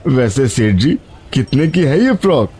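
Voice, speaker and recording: An actor playing a poor, honest labourer and tender, sorrowful father in an animated moral story, speaking gently and earnestly. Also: mid-range voice, unhurried, slight background noise. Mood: wistful, humble.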